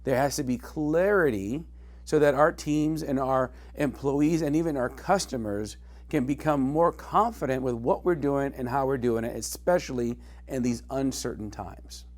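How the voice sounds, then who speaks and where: A man with a mid-pitched voice speaks as a leadership coach giving practical business advice. His delivery is earnest and instructive, and he leans on key words for emphasis. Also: normal speed. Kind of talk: direct-to-camera business advice.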